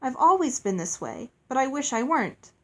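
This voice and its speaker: A woman's voice: high voice, big pitch swings, normal speed, a bit bright, heavily acted. A woman voicing an earnest, polite young girl from a storybook, courteous and pleading.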